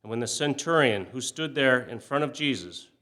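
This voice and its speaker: Bright-voiced man giving a solemn scripture reading. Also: mid-range voice. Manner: measured, expressive, emphatic on quoted cries, falling away softly at phrase ends. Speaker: scripture reader. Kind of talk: solemn dramatic Bible reading for a church service.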